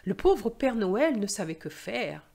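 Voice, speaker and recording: A woman with a mid-range voice speaks slowly and deliberately, like a teacher reading a story to learners. Her delivery is expressive, with careful phrasing that is easy to follow. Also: medium pitch, vocal fry. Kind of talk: slow, clear story reading for language learners.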